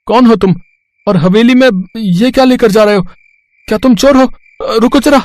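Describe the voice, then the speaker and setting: A man's voice: extremely high pitch, moderate pace, a little mellow. A man playing a poor, humble village farmer in a moral story, speaking earnestly. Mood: challenging. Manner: earnest, deferential pleading. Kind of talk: dramatised moral story acting.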